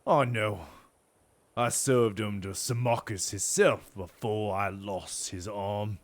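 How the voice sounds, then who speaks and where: A man doing a gruff old one-armed sergeant. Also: on the low side, steady tone, takes it slowly. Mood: gruff approval.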